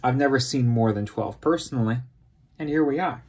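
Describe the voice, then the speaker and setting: A man's voice: medium pitch, brisk, darkish tone. A man teaching chemistry, explaining step by step in an informal tutorial style.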